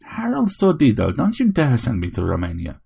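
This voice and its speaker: A man's voice: a little high, big pitch swings, normal speed, vocal fry, darkish tone, voice pushed up, exaggerated voice. A man voicing a robot with an old wife's personality, using a feminine, scolding intonation for a story.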